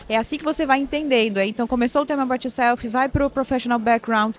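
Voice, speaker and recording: A woman's voice: a little high, fast. A woman in her twenties from northern Brazil with a Pará accent, a guest explaining things animatedly in a podcast interview, full of restarts and "né". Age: 20s. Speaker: guest, operations manager. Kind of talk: podcast interview at a tech event.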